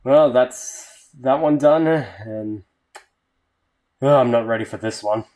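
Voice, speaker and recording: A man's voice: medium pitch, slow pace, darkish tone. A man doing a casual video reaction, reading captions aloud and muttering sweary asides. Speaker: YouTube reaction host.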